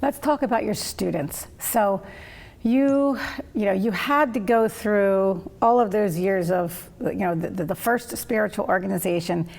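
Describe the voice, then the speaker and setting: A woman's voice: mid-range voice, a little slow, gravelly, a little mellow. A woman hosting an interview, asking long rambling questions. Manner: rambling questions, frequent backchannel. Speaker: host.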